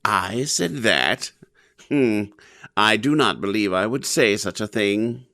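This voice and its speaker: A man's voice: fairly low pitch, takes it slowly, bright, forceful tone, no echo, clean studio sound, exaggerated voice. A man playing an eerily courteous, fanatical cult leader in a theatrical audio drama, on a noisy recording. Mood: coy, courteous.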